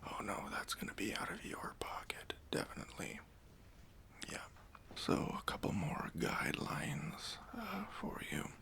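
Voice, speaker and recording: A man's voice: a little high, huge pitch swings, unhurried, bright, forceful tone, exaggerated voice. Whispering, stingy male boss played by a man. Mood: condescending, fussy. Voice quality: breathy whisper. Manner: hesitant, condescending, passive-aggressive politeness. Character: stingy, demanding male boss giving a job interview. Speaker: ASMR roleplay performer. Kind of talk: whispered ASMR roleplay. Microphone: close microphone.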